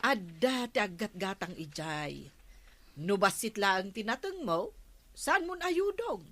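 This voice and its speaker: A woman playing a chatty, nosy village neighbour in a comedy radio drama, conversational and expressive. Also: medium pitch, slow, exaggerated voice. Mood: persuading.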